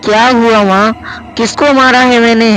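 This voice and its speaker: A man's voice: extremely high pitch, steady tone, a little slow, a bit bright, faint music behind, voice pushed up, rough recording. A man voicing a hot-tempered, defiant schoolgirl in a spoken story, with a brash, quarrelsome manner. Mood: pleading. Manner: brash, quarrelsome, pleading when accused.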